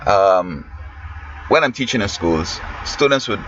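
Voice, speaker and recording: A man's voice: fairly low pitch, animated, a little slow, a bit bright. A man from Guyana with a Guyanese accent, a schoolteacher giving rambling, preachy commentary that often trails off mid-sentence. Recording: muffled lo-fi with faint hiss.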